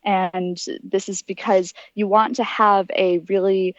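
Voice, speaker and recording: A woman's voice: mid-range voice, flat delivery, unhurried, dry recording, lo-fi sound. An American woman giving informal, detailed and articulate explanations as an interview guest. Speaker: interview guest, aspiring astronaut.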